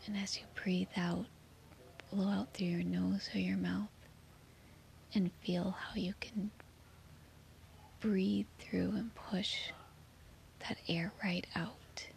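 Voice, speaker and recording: A woman guiding a children's sleep meditation in a soothing, gently reassuring manner. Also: mid-range voice, level tone, slow, creaky, long pauses. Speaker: meditation guide.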